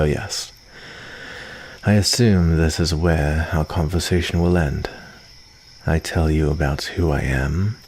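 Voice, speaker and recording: A man playing a calm, lonely, guarded vampire of the forest in an ASMR roleplay, with formal, dryly teasing phrasing. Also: very deep voice, creaky, slight background noise, exaggerated voice. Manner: unhurried, soft-spoken formal phrasing with dry teasing. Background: cricket ambience.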